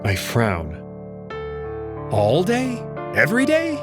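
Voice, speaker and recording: A man's voice: on the high side, huge pitch swings, slow pace, music in the background, full character voice. A man playing an uneasy young student who narrates a visual novel in the first person, introspective and hesitant, acting out his own dialogue. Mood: uneasy, insistent.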